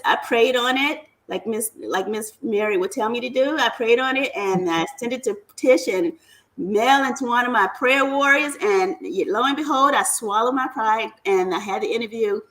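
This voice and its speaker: A woman's voice: a little high, animated, moderate pace, fairly bright tone, low-quality recording. A woman guest on a casual talk show, chatting in a self-deprecating storytelling way. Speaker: guest business owner. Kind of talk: casual chat.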